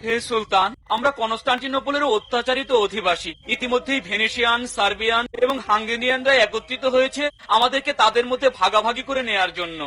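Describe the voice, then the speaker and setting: A man's voice: very high voice, fast, pushed and bright. A man voicing an envoy of oppressed citizens in a dubbed cartoon, speaking earnestly and urgently. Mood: pleading. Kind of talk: cartoon dubbing.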